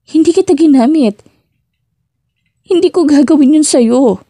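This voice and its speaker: A woman's voice: high voice, measured pace, dark tone, no echo, studio quality, voice pushed up. A woman voicing a distraught young female secret agent in an audiobook drama, pleading in an emphatic, panicked manner. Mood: tearful, pleading.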